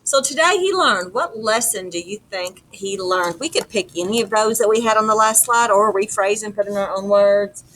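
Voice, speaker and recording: A woman's voice: medium pitch, normal speed, few pauses, fairly bright tone, poor audio. A middle-aged woman teaching a lesson in an informal, explanatory, conversational way. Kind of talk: conversational lesson walk-through. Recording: clear background noise.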